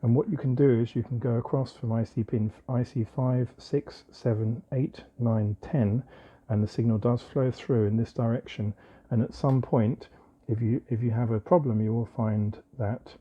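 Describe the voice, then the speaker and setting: A man presenting an informal hands-on technical tutorial, explaining methodically in measured phrases with short pauses. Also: mid-range voice, steady tone, mellow, breathy edge, highs cut off.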